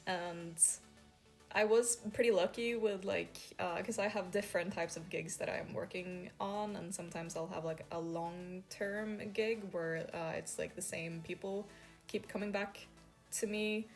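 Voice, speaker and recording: A young Swedish woman with a fairly low voice talks easily to camera, full of "like" and "um" and small laughs. Soft music plays quietly behind her. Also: fairly even intonation, a little slow. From Sweden. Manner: chatty, many fillers and soft laughs. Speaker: freelance artist. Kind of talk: casual talk to camera.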